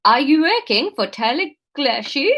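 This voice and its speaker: A woman voicing a stern, suspicious female interrogator in a story, with an attempted Dutch accent and halting, deliberate delivery. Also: high-pitched, big pitch swings, fairly bright tone, full character voice.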